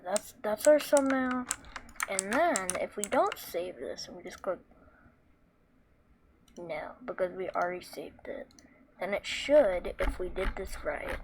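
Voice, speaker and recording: A woman with a squeaky voice talking through a casual screen tutorial, rambling with lots of fillers and restarts. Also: noisy background. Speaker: tutorial maker.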